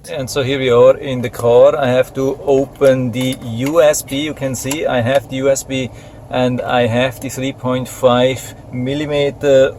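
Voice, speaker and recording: Guy doing a casual product review, pretty flat delivery, noisy background, explains stuff step by step. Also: mid-range voice. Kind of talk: casual hands-on unboxing and review.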